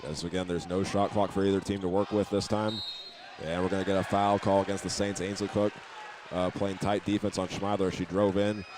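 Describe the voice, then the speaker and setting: Fast, even-toned American sports announcer man. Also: low voice, noisy background. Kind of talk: live basketball radio commentary. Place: indoor auditorium at a basketball game.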